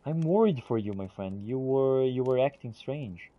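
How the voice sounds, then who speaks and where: A man giving casual in-character game commentary and reading notes aloud, halting and muttering as he goes. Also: mid-range voice, expressive, dry recording, narrow, tinny audio. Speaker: gamer roleplaying and narrating a playthrough.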